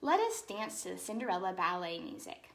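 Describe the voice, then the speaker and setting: A woman voicing a calm, instructive female ballet teacher from a children's storybook. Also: on the low side, a little fast, creaky.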